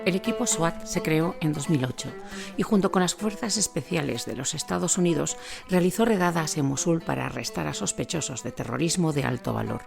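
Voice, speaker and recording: A woman's voice: low voice, brisk, creaky, over music. A woman reading scripted documentary narration as a narrator.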